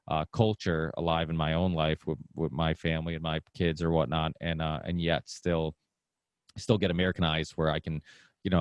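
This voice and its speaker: A man's voice: extremely low pitch, clean tone, dry recording, dull audio. A middle-aged man who grew up speaking Portuguese, talking as a podcast guest in a meandering, casual way that often restarts sentences. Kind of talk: casual conversation.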